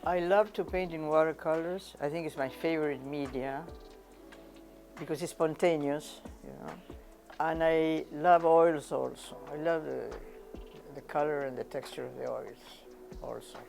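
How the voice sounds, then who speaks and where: An older woman speaks in a gravelly, creaky voice. She answers interview questions about her painting in an unhurried way, pausing and repeating phrases as she searches for the right word. Faint music plays softly behind her. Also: deep voice. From Argentina.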